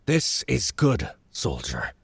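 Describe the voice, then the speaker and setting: A man voicing a huge, shrewd male baron who speaks slowly and commands the room, in a dry close recording. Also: on the low side, huge pitch swings, vocal fry, slightly rough, no echo, pitched above the performer's own voice, full character voice. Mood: commanding. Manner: slow, measured and domineering.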